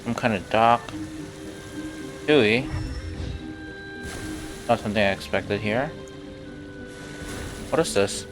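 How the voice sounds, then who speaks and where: A man's voice: lowish voice, slow, pauses often, over music. A man giving casual live game commentary, muttering short reactions to himself as he plays. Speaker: game streamer.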